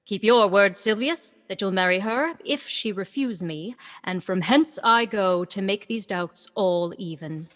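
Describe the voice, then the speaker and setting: A woman playing a self-assured young woman disguised as a youth in a Shakespearean radio play, brisk and declamatory. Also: mid-range voice, natural voice. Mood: commanding. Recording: long echoing reverb.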